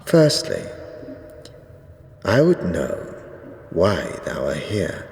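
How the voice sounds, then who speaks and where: Ominous undead high priest, very low voice, slow delivery, archaic, stern, fantasy drama, long echoing reverb. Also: male voice, wildly swooping pitch, vocal fry, a little mellow, full character voice. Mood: stern, solemn. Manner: solemn, terse, archaic diction. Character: ancient regal skeleton priest, stern and ominous. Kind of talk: fantasy audio drama acting. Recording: cavernous reverberant hall, background noise.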